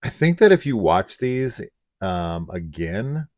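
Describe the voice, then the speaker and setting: A man's voice: fairly low pitch, sing-song, slow, darkish tone, no echo, studio quality. A man co-hosting a horror review show, talking casually and conversationally about films. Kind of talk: casual conversational film discussion.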